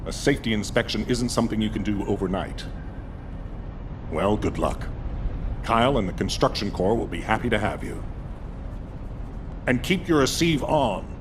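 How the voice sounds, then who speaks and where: Low, stern actor as military commander. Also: male voice, lively intonation, normal speed, long pauses, close to an everyday voice. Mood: commanding. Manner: authoritative, dry. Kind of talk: video game voice acting. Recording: noisy rumble behind.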